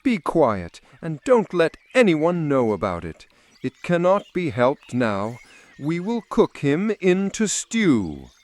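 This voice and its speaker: A man's voice: on the high side, wildly swooping pitch, slow pace, darkish tone, voice pushed up, heavily acted. A man playing a wicked, scheming stepmother in a fairy tale, commanding and falsely kind. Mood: cold, commanding.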